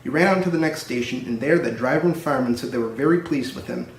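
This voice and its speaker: A man's voice: mid-range voice, fast talker, slight background noise. A man narrating a children's story as a storybook reader. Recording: roomy echo, distant microphone.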